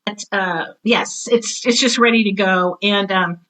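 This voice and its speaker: A middle-aged woman chatting casually and enthusiastically as an interview guest, telling stories in an animated way and acting out what people said. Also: mid-range voice, measured pace, low-quality recording. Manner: animated storyteller, acts out quoted remarks. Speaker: interview guest, drama teacher and author.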